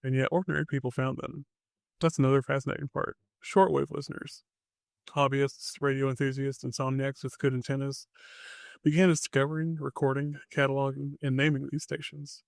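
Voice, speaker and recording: A man's voice: mid-range voice, little pitch movement, fairly quick, vocal fry, dry recording, clean studio sound. A man hosting a podcast, reading scripted narration in short dramatic phrases with wry asides. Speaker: podcast host.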